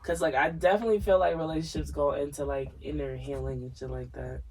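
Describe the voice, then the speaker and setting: A raspy man with a congested voice, co-hosting a casual podcast and asking probing personal questions between laughs. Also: on the high side, fairly even intonation, fast, far from the mic, a little hiss or hum. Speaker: podcast co-host.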